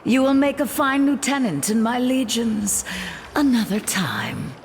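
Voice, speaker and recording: A woman's voice: a little high, animated, a little slow, slight background noise. A woman playing an imperious, scheming goddess queen of the underworld in a video game, speaking in a haughty, menacing way. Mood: haughty menace. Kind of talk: theatrical video game voice acting.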